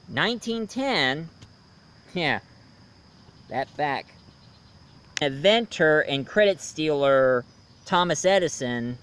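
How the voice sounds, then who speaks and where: A man's voice: on the high side, fairly bright tone, clear, resonant tone. A man giving a casual, rambling film review, speaking emphatically and opinionatedly straight to his viewers. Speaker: reviewer, host.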